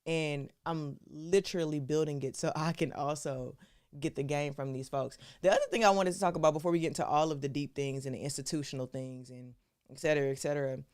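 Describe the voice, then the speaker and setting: Woman, live-stream host, smooth clear voice, casual and chatty, y'all to viewers. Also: low voice, steady tone, a little fast, darkish tone. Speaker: show host.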